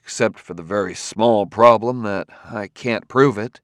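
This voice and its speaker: A man's voice: fairly low pitch, a little slow, fairly bright tone, no room sound, studio quality. A man voicing a weary, dogged male investigator in an audiobook novel, blunt and resigned yet earnest and tender. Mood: grim, frustrated.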